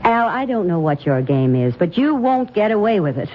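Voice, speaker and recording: A woman's voice: mid-range voice, very animated, normal speed, natural voice. A woman playing an anxious, possessive wife in an old-time radio drama, emotional and melodramatic. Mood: accusing. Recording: dull, noisy vintage radio recording.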